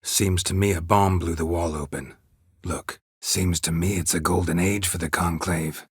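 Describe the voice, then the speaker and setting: A man voicing a gruff, stoic, dry-witted monster hunter in a video game, in a husky rasp with a terse, deadpan manner. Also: deep voice, moderate pace, no echo. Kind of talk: video game character dialogue.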